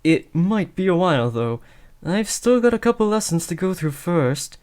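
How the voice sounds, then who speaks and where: A man's voice: high voice, very animated, moderate pace, darkish tone. A young man acting an awkward, earnest teenage boy who is trying to be a supervillain, hesitant and often correcting himself, in a comedic audio roleplay. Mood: awkwardly reassuring.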